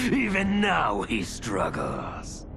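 Menacing chaos fighter, gleeful, very slow, wildly swooping, mocking, theatrical video game villain. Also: male voice, mid-range voice, wildly swooping pitch, noisy background, full character voice. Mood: gloating. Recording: music under the voice.

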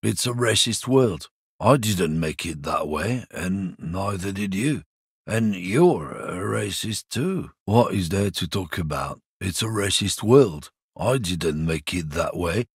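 An actor playing a surly, hostile lorry driver in a video game, sneering and swearing constantly, with a husky voice. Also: male voice, low voice, lively intonation, takes it slowly, no room sound, exaggerated voice. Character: surly bigoted male lorry driver, middle-aged, hostile. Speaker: game character. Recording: dry close studio recording.

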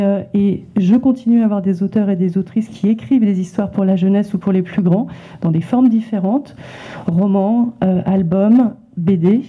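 Hesitant woman on a tinny mic. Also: medium pitch. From France. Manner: hesitant, many repetitions and restarts. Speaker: illustrator and author at a library talk. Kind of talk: public talk, presenting her work. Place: library event room with small audience. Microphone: handheld microphone passed around. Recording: tinny phone-like sound.

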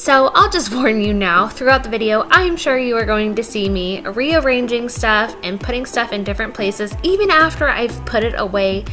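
A woman's voice: a little high, lively intonation, normal speed, few pauses. A young woman narrating her own home cleaning video in a chatty, upbeat voice-over with some self-mocking jokes. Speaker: vlogger. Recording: voice-over with loud background music.

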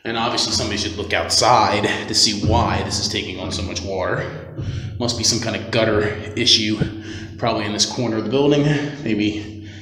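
Low-voiced man in a very echoey room. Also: fairly even intonation, a little fast, bright, forceful tone. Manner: informal, off-the-cuff, rambling. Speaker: handyman painter presenting his work. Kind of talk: casual handheld work video narration. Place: small empty concrete basement room. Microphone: handheld phone or camera held by speaker. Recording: very echoey bare room, background noise.

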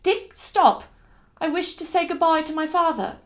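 A woman's voice: very high voice, expressive, a little fast, voice pushed up. A woman voicing a polite, resolute, well-bred Victorian young lady with a formal way of speaking in an audiobook. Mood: commanding.

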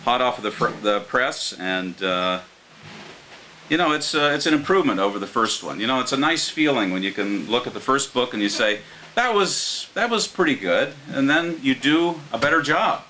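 An older American man reading his poetry live at a microphone, in a measured, earnest way. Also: mid-range voice, animated, pushed and bright, faint music behind, poor audio. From Martins Ferry, Ohio, United States. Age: older, around 60. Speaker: poet reading his own work. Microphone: microphone on a stand. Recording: clear background hiss.

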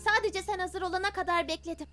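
Brief: woman playing a squeaky-high, feisty young imp wife in a cartoon, bubbly with a sharp edge; score underneath. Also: fairly even intonation, fast talker. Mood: cheerful. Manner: bubbly and affectionate, turns sharp when hurt. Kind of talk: fan dub of animated series. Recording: music playing behind.

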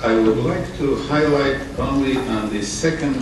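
A middle-aged man from Bulgaria, a parliament member, giving measured formal remarks into a table microphone in a conference room. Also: mid-range voice. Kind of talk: formal remarks at a public assembly. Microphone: table gooseneck microphone. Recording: echoey room from far off, noisy.

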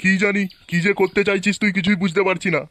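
Man playing high-voiced grumbling cartoon villager. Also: flat delivery, quick pace, fairly bright tone. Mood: suspicious. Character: excitable village men: proud father, grumbling pal. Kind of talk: Bengali comedy cartoon voice acting.

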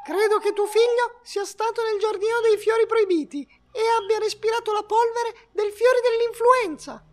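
A woman's voice: very high-pitched, normal speed, a little mellow. A woman voicing a small, pert fantasy creature in a children's cartoon. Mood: knowing. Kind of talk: children's cartoon character acting.

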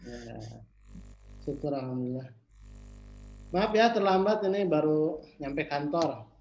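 A man's voice: a little high, animated, a little slow, gravelly, a little mellow. Indonesian man on a roomy video call. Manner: friendly small talk, polite greetings. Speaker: vice chairman of the college. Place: office, indoors. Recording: roomy video call audio with faint noise.

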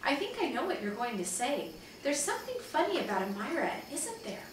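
A woman's voice: sits high, very animated, fairly quick. Lady doing serious big brother kid from a storybook, earnest, super echoey and noisy. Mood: suspicious. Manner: earnest, conspiratorial. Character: serious, watchful older brother, a boy, Henry. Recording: distant mic, very echoey room, noisy.

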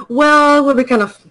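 A man's voice: extremely high pitch, sharp tone, poor audio. Guy reading a news story on a chill podcast, stumbles and fixes words as he goes. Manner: reads haltingly with small slips and self-corrections. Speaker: podcast co-host. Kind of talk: casual chat, reading news aloud.

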